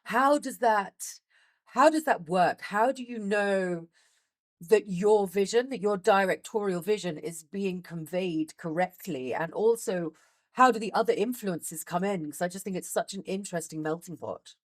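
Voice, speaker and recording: A woman's voice: mid-range voice, normal speed. Female interviewer, mid-range voice, engaged and encouraging. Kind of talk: interview questions.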